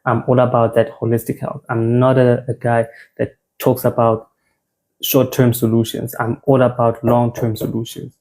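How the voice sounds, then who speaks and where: A man's voice: medium pitch, completely flat delivery, normal speed, a little mellow, low-quality recording. A young South African man giving an informal men's health talk, conversational, repeating phrases and restarting words.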